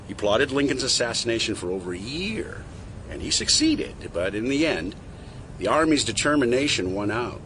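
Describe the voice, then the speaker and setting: A man playing a calm, persuasive conspiracy insider in an audio drama, speaking in a measured, knowing storyteller's way. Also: medium pitch. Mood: measured storytelling. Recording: noisy street ambience behind voice.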